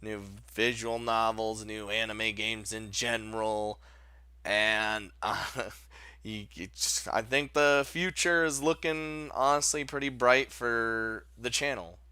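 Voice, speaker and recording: A man's voice: lowish voice, slow, bright, forceful tone, clear, resonant tone, no room sound. A man hosting a casual countdown video, rambling informally as he talks. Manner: rambling, informal, chuckles often. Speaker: video host. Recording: music playing behind the voice. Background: background music.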